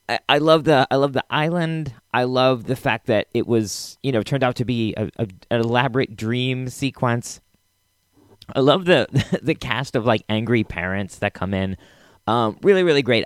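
A man's voice: lowish voice, no echo, studio quality. An American man hosting a podcast solo, chatting informally and enthusiastically. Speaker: podcast host. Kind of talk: casual solo podcast chat.

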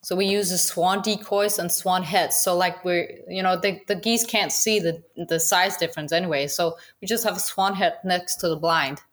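Danish woman guest, lowish voice, halting casual English with a Danish accent, laughs easily. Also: fairly even intonation, fairly bright tone. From Denmark. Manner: halting, many restarts and fillers, laughs often. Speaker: guest goose hunter. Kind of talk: casual interview chat.

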